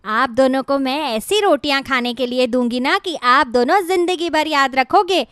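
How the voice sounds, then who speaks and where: Lady doing a mean bossy young bahu for a cartoon, high voice, scolds everyone. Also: normal speed, fairly bright tone, no echo, clean studio sound. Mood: haughty, scolding. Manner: haughty, scolding. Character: cruel, bossy young daughter-in-law bullying her in-laws. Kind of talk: Hindi cartoon moral-story dialogue.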